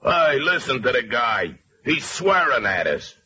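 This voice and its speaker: Scar-faced gangster thug, husky and barking, suspicious, vintage radio acting. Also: male voice, mid-range voice, big pitch swings, measured pace, sharp tone, heavily acted. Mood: aggressive. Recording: muffled old radio recording.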